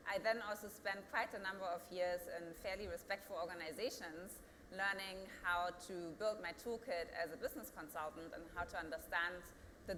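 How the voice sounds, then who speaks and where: A woman in her thirties speaks with a German accent, her voice bright and forward though sitting a little low. She answers earnestly, restarting now and then and pushing to emphatic peaks. The sound carries a long hall reverb over faint ambient noise. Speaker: company founder. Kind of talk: panel interview answer.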